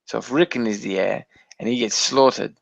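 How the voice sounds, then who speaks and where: A man's voice: fairly low pitch, a little fast, gravelly, no echo, dull audio. Theatrical man bantering in a put-on accent. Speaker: podcast co-host. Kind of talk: casual banter about TV and video games.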